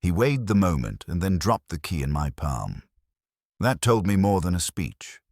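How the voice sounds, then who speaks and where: A man with an extremely low voice narrates a detective story in the first person. His wry, scripted reading has lively intonation and a dry, close sound. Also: moderate pace, no echo. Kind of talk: scripted noir mystery narration.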